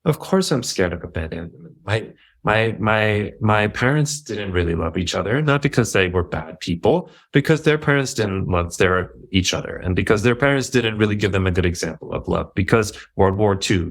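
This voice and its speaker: A man's voice: deep voice, normal speed, few pauses. A man from New Jersey with a New Jersey accent, a coach talking earnestly and reflectively over a video call as a guest. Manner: earnest, reflective, quotes himself in animated voices. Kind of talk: casual video call conversation.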